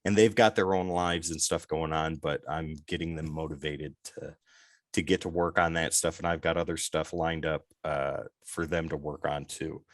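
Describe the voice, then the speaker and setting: A man's voice: a bass voice, fairly even intonation, normal speed, no echo, studio quality. A man co-hosting a podcast, chatting casually with many fillers and laughing often. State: recovering from losing his voice. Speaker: podcast co-host.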